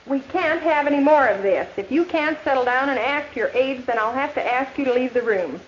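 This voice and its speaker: A woman playing a strict, weary American high school teacher in a 1950s educational film, speaking formally and firmly. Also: animated, a little fast. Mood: stern, weary. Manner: firm, formal, instructing. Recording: muffled, echoey, noisy 1950s film soundtrack.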